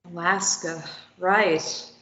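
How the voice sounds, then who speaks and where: A woman reading a defiant captive young woman at a table read, firing back quick retorts. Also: on the low side, fairly even intonation, pushed and bright, roomy sound, muffled recording, exaggerated voice. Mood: frightened. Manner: quick retorts, tense and pleading when threatened.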